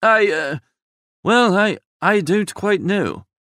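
Idle, flippant young gentleman male reader for comic storybook: droll, wordy, higher-pitched, very animated. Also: takes it slowly, dry recording, clean studio sound, full character voice. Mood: flustered, evasive. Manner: wordy, breezy, drolly exaggerating. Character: Bertie Wooster, young idle upper-class gentleman, flippant and languid. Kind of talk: comic first-person audiobook narration.

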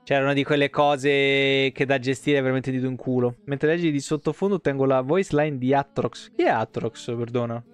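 A man's voice: mid-range voice, fairly quick, hardly any pauses, clean tone. A man, reading game lore aloud and breaking off for informal asides as a live streamer. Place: indoor home streaming setup. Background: soft plucked guitar game music.

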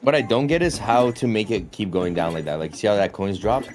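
A man's voice: fairly low pitch, brisk, darkish tone, faint music behind. A man joining as a guest, casually explaining how a slot game works and swearing now and then. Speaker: guest streamer. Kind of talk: casual gaming chat.

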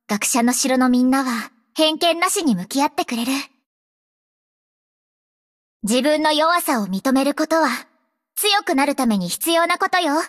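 A woman's voice: high-pitched, fairly bright tone. A woman voicing a brave, earnest young half-elf girl who guards others, in resolute, anime-style video game hero lines. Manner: resolute, earnest, clearly projected delivery. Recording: studio voice over game music. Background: game music.

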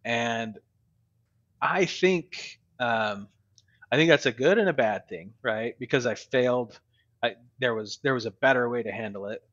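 A man in his forties, a podcast guest, talking slowly and reflectively with many pauses and ums over a video call. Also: mid-range voice, fairly bright tone, highs cut off. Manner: slow and reflective, many ums, laughs easily. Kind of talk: casual interview talk. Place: home room. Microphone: studio mic on video call with headphones.

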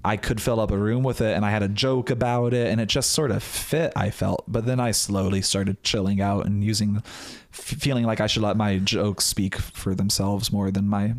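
A man's voice: lowish voice, fairly even intonation, moderate pace. A young man from Indiana, a comedian guest on a podcast, talking casually and hesitantly with many restarts, in a small studio on a close mic. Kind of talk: casual chat. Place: small studio room, indoors. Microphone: studio mic with headphones.

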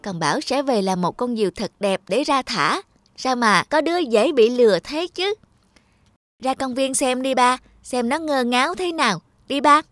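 A woman voicing a mischievous, expressive little boy in a cartoon dub, with a childlike manner. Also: sits high, moderate pace, no room sound. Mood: gleeful, mocking. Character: mischievous little boy prankster who turns remorseful. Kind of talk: cartoon dubbing. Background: music.

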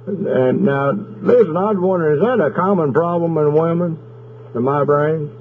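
Over a tinny, noisy phone line comes a man putting on an angry Southern country husband from Oklahoma. He rambles in a folksy drawl, deadpan and spoiling for a fight, in a slightly high voice. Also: normal speed, lightly acted. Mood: aggrieved, folksy. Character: aggrieved, belligerent country husband threatening a whooping. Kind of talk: prank phone call.

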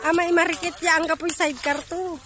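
A woman's voice: very high-pitched, fairly even intonation, fairly quick, bright, forceful tone. A woman chatting playfully outdoors in a cornfield, caught by someone else's phone camera. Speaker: companion at the harvest. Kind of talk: casual chat. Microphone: another person's phone camera.

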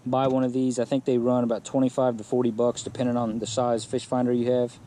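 A man with a mellow, warm voice gives a relaxed, plain-spoken walkthrough of his fishing setup. He is outdoors in a yard and recorded on an action camera. Faint background noise sits under his voice. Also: medium pitch, fast talker. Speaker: kayak angler showing his setup. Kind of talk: casual gear walkthrough. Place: outdoors in a yard beside a kayak.

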